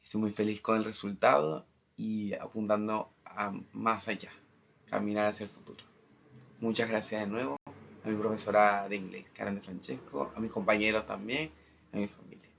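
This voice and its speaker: A young man from Argentina with an Argentine accent, a student giving a formal final project presentation in measured phrases with pauses. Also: lowish voice, fairly even intonation, vocal fry, fairly bright tone, slight background noise. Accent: Argentine Spanish. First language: Spanish. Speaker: international trade student. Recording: dull lo-fi recording.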